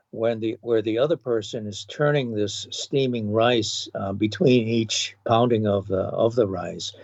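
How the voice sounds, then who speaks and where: A man in his seventies from Hawaii, a podcast guest recalling his life in a measured way, pausing often with um and uh. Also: fairly low pitch, darkish tone, no echo. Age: 70s. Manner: measured, with frequent um and uh pauses. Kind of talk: thoughtful reminiscing interview. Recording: dull, lo-fi dry recording.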